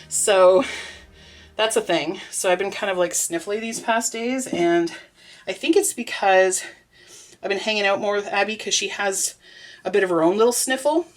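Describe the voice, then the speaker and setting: A young woman making soap and chatting through it, with a stuffy, sniffly nose, laughing often and rambling with lots of fillers. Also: medium pitch. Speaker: soap maker. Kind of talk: casual vlog narration while working.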